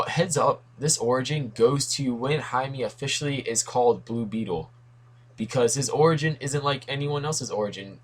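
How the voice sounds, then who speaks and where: Young man with a worn, fading voice. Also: medium pitch. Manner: rambling, self-correcting, lots of asides. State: losing his voice. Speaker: comics video host. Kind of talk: casual rambling commentary.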